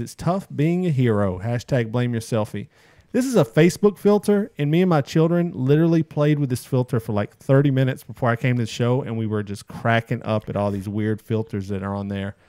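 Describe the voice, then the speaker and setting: A man's voice: mid-range voice, expressive, fairly quick, a little mellow, no echo. A man hosts a casual podcast with an upbeat, chatty delivery and a mid-range voice. The sound is close and dry, with no room around it. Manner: upbeat, reading out listener posts. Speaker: podcast host.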